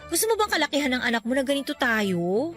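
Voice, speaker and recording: A woman's voice: high voice, very animated, quick pace. An actress playing a persistent, playful young mother in a radio drama, nagging and coquettish, with music underneath. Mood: jealous, hurt. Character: persistent, teasing young mother in love with best friend.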